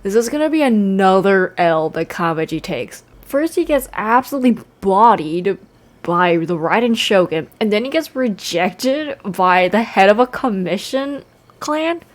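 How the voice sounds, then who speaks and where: A woman's voice: mid-range voice, expressive, a little slow. A young woman giving casual, joking commentary as a game streamer, playful, theatrical and slangy.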